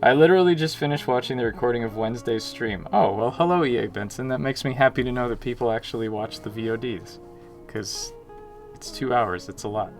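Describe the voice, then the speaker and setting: A man's voice: mid-range voice, fairly quick, faint music behind. Chatty young man on a home stream. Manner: chatty and self-mocking, greets chat viewers by name. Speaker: indie game developer streaming. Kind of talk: casual livestream chat. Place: small room at home, indoors.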